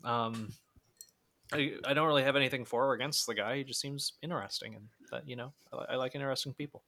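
A man with a Canadian accent hosting a podcast in a casual, halting, blunt and self-deprecating way. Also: mid-range voice, sharp tone. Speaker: podcast host. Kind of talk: casual chat.

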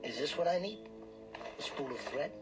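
An actor in a radio drama playing a pushy, greedy small-time hustler who talks in cocky, needling slang. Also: male voice, on the high side, sing-song, normal speed, vocal fry. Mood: smug. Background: music.

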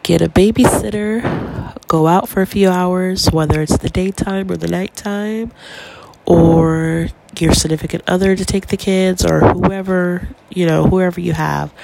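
A congested young woman hosts her podcast, her stuffy voice full of deep gravelly fry. She chats in a relaxed, encouraging way, filling pauses with ums. A faint noise sits under the recording. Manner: chatty, encouraging, many ums. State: congested with a cold, stuffy nose. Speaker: podcast host. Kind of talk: casual solo podcast advice.

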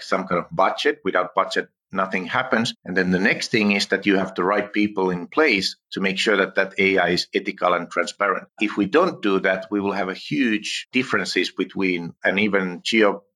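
A man's voice: low-pitched, sharp tone. A man with a Finnish accent, answering interview questions earnestly, often searching for words and restarting. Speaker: guest, AI expert and author.

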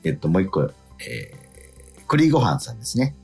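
A man's voice: mid-range voice, sing-song, normal speed, gravelly. A man co-hosting a radio show, chatting casually and reading listener mail, with hesitant phrasing that often backtracks. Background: background music.

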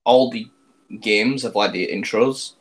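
A man's voice: medium pitch, measured pace. A man co-hosting a podcast in casual chat, blunt and offhand, often hedging his claims. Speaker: podcast co-host.

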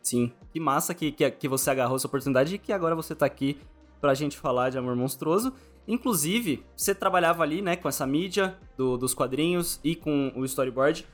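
A man's voice: on the high side, a little fast. A Brazilian man hosting a casual podcast interview, talking informally and rephrasing his questions as he goes. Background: background music.

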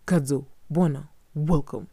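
A tall, calm man from a storybook, read by a woman. He speaks plainly and steadily in a lowish voice with a gravelly texture. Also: a little slow, no echo, full character voice. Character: tall, calm man, ice cream maker.

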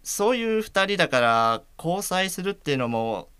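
A man's voice: sits high, sing-song, moderate pace, a bit bright, clear, resonant tone, no room sound. A man playing an earnest, polite blind man shyly in love, in an audio drama. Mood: bashful, earnest.

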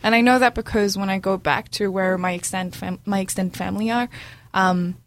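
Young woman from Brunei as a guest, relaxed and talkative, quick to laugh. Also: medium pitch. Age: 20s. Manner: chatty, laughs often, many ums. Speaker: guest, junior doctor. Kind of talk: casual interview chat.

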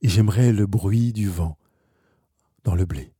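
Man reading as a wistful storybook fox, very mellow. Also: lowish voice, unhurried, slightly rough, no echo, studio quality, pitched below the performer's own voice. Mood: wistful, hopeful. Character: wistful, lonely wild fox longing to be tamed.

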